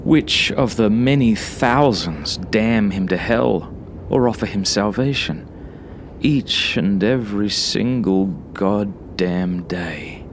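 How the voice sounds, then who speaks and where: A man narrates a horror story in a fairly low voice, taking it very slowly. His delivery is measured and dramatic, like a first-person confession read from a script. Some noise sits behind the recording. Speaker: author reading own horror story.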